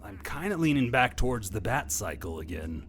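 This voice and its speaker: A man's voice: fairly low pitch, big pitch swings, normal speed, fairly bright tone, slight background noise. A man voicing a dithering male caped crusader in a comedy podcast sketch, deliberating aloud. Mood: musing.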